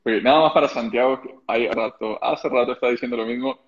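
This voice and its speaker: Man hosting a live stream, rambling questions, dull audio. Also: mid-range voice. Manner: fast, rambling questions with restarts. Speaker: host, interviewer.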